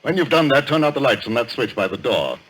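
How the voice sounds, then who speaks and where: A husky, blunt sceptic, animated. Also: male voice, medium pitch, fast talker, poor audio. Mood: commanding. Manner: brusque, dry, challenging. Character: blunt, sceptical solicitor, stubborn plain common-sense man. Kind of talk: old radio thriller acting.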